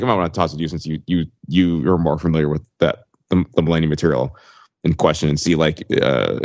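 A deep-voiced man works through an argument in a casual podcast discussion. He is tentative, hedging and rephrasing as he goes. The recording is dry and a little dull. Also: dry recording. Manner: tentative, hedging, frequent self-correction. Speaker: podcast panelist.

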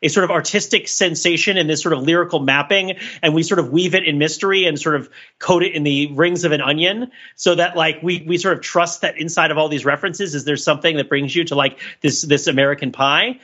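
A man's voice: a little high, a little fast, few pauses, pushed and bright, highs cut off. A man talking casually about pop culture as a podcast panelist, in a rambling, digressive and analytical way.

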